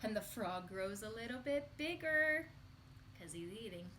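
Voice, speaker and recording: Bright, slow-paced woman reading to children. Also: mid-range voice, a little hiss or hum. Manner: sing-song, expressive delivery for young children. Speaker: teacher reading a picture book aloud to children. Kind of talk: children's read-aloud storytelling.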